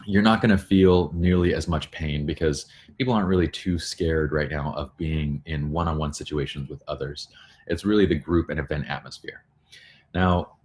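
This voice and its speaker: A man hosting a casual live discussion from his bedroom at home, explaining things earnestly in an informal way. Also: a bass voice. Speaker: photography studio owner hosting a livestream.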